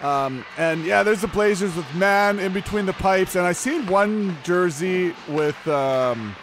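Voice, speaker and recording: A man's voice: high voice, expressive, a little slow, background noise. A man hosting joking play-by-play commentary of an amateur hockey game, rambling and laughing at himself. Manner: rambling, laughs at himself, keeps saying the co-host's name. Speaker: play-by-play host.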